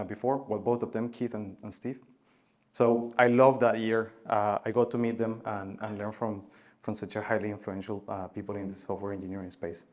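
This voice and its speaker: Man giving a halting tech talk. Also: medium pitch. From Venezuela. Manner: halting, many filler sounds and restarts, loudness rising and falling. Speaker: conference speaker. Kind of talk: conference talk.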